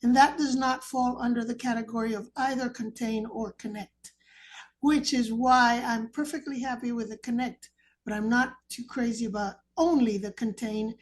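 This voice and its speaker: A woman with a fairly high voice works through a dense philosophical question, choosing her words carefully and pausing as she goes. She speaks over a video call. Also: fairly even intonation, a little hiss or hum. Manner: careful, halting, abstract phrasing. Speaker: academic asking the speaker a question.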